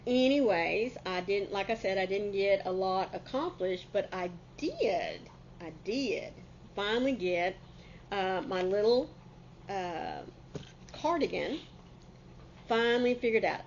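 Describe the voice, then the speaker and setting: A woman's voice: mid-range voice, a little mellow, muffled recording, slight background noise. A middle-aged woman, a bit congested from a cold, chatting casually and folksily to camera as a crafting channel host.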